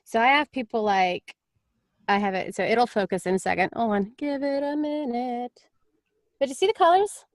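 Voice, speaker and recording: A woman's voice: a little high, moderate pace, no room sound, clean studio sound. A woman talking casually and chattily as an audiobook narrator on a panel.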